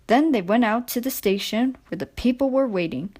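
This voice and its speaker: A woman's voice: a little high, expressive, moderate pace, darkish tone. A woman reading a children's story as a scripted storybook narration.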